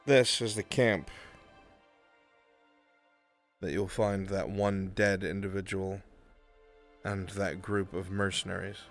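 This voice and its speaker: Low-voiced man giving directions. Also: steady tone, measured pace. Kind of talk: casual out-of-character directions. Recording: music behind the voice.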